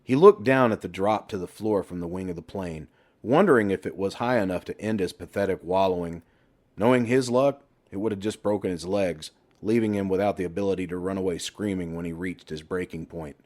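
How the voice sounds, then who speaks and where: A man's voice: deep voice, a little fast, darkish tone. A man reading a horror novel aloud as scripted audiobook narration.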